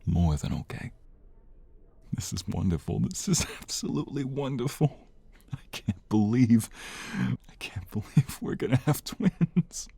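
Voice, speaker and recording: A man playing a devoted young husband and flustered expectant father in an audio roleplay, speaking haltingly. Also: mid-range voice, sing-song, creaky, mellow, slightly rough, no echo, exaggerated voice. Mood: overwhelmed, tender.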